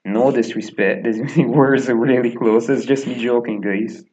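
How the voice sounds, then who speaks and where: A man's voice: medium pitch, steady tone, unhurried, a little mellow, muffled recording, a little hiss or hum. A young man in his twenties with a Barbadian accent, talking casually while he plays a game, wandering through his thoughts with lots of fillers and little chuckles. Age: 20s. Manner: meandering, filler-heavy, chuckling asides. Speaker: gaming vlogger. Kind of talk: rambling casual commentary while gaming. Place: small room at home, echoey.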